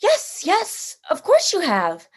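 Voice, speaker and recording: An actress playing a genteel, cold-blooded general who hunts for sport, speaking with theatrical, smug courtesy in a radio drama. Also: female voice, very high voice, huge pitch swings, takes it slowly, full character voice. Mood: courteous menace. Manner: theatrical, leisurely, smugly courteous.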